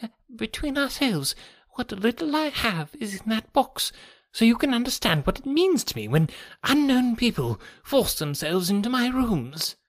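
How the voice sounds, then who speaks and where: Jittery high reedy fat old-fashioned gent, quavery, scared and polite, audiobook style, swoops around. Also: male voice, very animated, normal speed, hardly any pauses, dry recording, full character voice. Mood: anxious, confiding. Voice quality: reedy, quivering. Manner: agitated, fussily polite. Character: nervous, jittery, very fat sickly middle-aged gentleman, frightened. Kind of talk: audiobook character voice.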